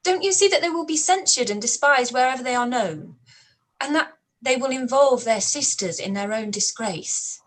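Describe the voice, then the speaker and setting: An earnest, sharp-witted young woman, played by a woman in a live-read radio play. Her voice sits high and she makes her points in an articulate, pressing way. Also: animated, moderate pace.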